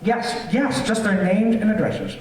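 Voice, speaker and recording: An actor playing a fussy, eager homeowner who is secretly a murderous villain, repeating himself in an old-time radio thriller on stage. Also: male voice, high-pitched, unhurried. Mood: businesslike. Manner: repetitive, fussy, chuckling, sinister. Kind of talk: stage performance of golden-age radio thriller. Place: theater stage, live performance. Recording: roomy theater, far from mic, faint noise.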